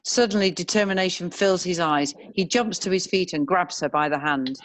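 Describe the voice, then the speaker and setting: A woman narrating screenplay stage directions in a measured, descriptive reading style. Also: lowish voice, no room sound, rough recording.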